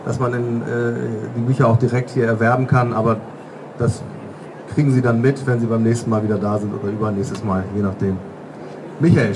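A man hosting an interview at a trade fair booth, relaxed and chatty with fillers. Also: medium pitch. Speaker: podcast host interviewing. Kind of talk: interview conversation. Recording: trade fair crowd noise behind.

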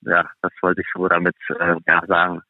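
A man with a fairly low voice heard through a narrow, phone-like connection with little room sound. He answers as an interview guest in a casual way, feeling for his words as he goes. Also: completely flat delivery, brisk, dry recording. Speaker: guest, professional ice hockey player. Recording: thin phone-like band-limited sound.

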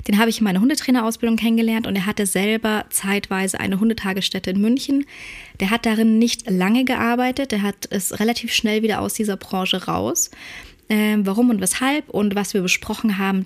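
A woman hosts her podcast in a voice roughened and hoarse from a cold. She talks earnestly and a little haltingly, with fillers and self-corrections, speaking close into her microphone. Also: medium pitch, fairly even intonation. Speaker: podcast host and dog trainer. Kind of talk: casual podcast monologue.

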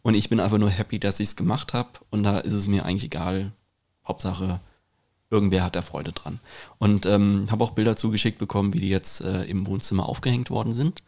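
A man's voice: fairly low pitch, steady tone, brisk, a little mellow. A man talking in a casual podcast chat, reflective and informal, with English slang mixed in. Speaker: podcast host and painter.

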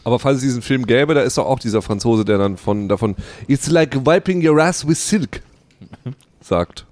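A man's voice: mid-range voice, expressive, normal speed, a little mellow. A man co-hosting a casual podcast chat, rambling with lots of fillers and restarts, and laughing easily. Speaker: podcast co-host.

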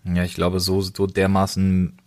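Male co-host, lowish pitch, very dry sound, casual podcast conversation. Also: monotone, a little slow, darkish tone, no echo.

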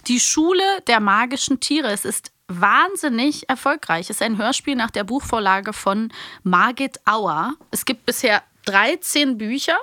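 A woman's voice: a little high, lively intonation, a little slow, sharp tone, no echo, studio quality. A woman hosting a podcast in casual, teasing chat and quiz banter. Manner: playful, teasing. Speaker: podcast host.

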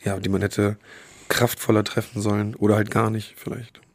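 A male podcast co-host speaking through a cold, his voice hoarse and gravelly. He talks in a halting way, pausing and searching for words as he goes. The sound is dry and close, with no room echo. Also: fairly low pitch, little pitch movement. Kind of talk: casual chat.

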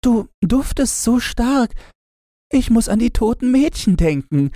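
A man's voice: very high voice, sing-song, a little slow, mellow, dry recording, clean studio sound, pitched above the performer's own voice, exaggerated voice. A man voicing a sad, innocent little girl in a fairy tale, with plaintive, childlike questioning. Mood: distressed.

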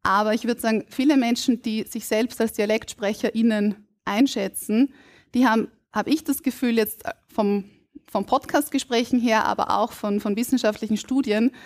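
A woman from Burgenland with an Austrian German accent, a linguist on a live podcast stage, explaining in a measured, academic way. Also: on the high side, fairly even intonation, darkish tone. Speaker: guest linguist. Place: stage before a live audience.

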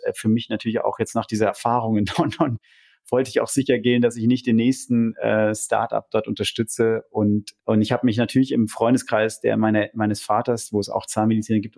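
A man's voice: on the low side, a little mellow. A man talking enthusiastically and conversationally about his own career as an interview guest. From Germany. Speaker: podcast interview guest, entrepreneur.

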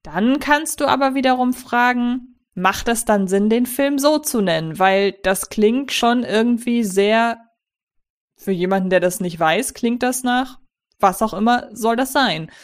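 A woman's voice: fairly high pitch, expressive, measured pace. A woman hosting a horror film podcast, chatting casually and explaining her points at length. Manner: conversational, explains at length with fillers. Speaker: podcast host. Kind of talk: casual film review chat.

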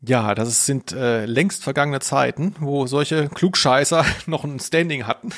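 A man's voice: medium pitch, a little slow. A man co-hosting a podcast in casual, jokey chat. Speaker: podcast host.

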